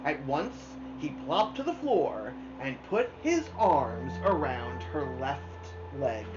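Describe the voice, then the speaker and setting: A woman reading a children's novel aloud expressively, with dramatic pauses. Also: low-pitched, sing-song. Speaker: storybook reader. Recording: dull lo-fi sound, music behind. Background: music.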